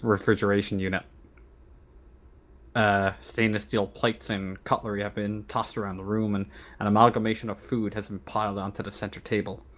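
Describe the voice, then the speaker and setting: Low-voiced man narrating as game master. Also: a little hiss or hum. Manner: halting, stumbling over words. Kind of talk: improvised tabletop game narration and rules talk.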